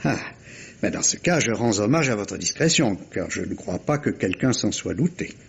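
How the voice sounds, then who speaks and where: An actor playing a polite, sceptical police inspector in a vintage radio mystery, questioning in a hesitant, roundabout way. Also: male voice, lowish voice, very animated, normal speed, gravelly, breathy edge, exaggerated voice. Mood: politely sceptical. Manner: hesitant, courteous, roundabout questioning with repeated words. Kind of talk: 1950s French radio mystery acting. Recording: dull, lo-fi vintage radio recording.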